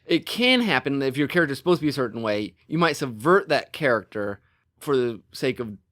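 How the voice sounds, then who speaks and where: A man talking casually and emphatically as a podcast co-host, in an informal, animated discussion. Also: medium pitch, brisk. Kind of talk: casual conversational discussion of film and storytelling.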